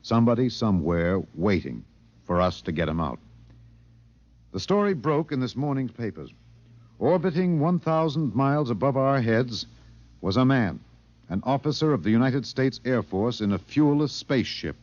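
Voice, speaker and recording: An actor playing a seasoned, earnest radio news editor who narrates a documentary in a 1950s radio drama. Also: male voice, mid-range voice, big pitch swings, a little mellow, natural voice. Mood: grave, dramatic. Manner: grave measured narration broken by offhand studio asides. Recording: muffled 1950s radio recording.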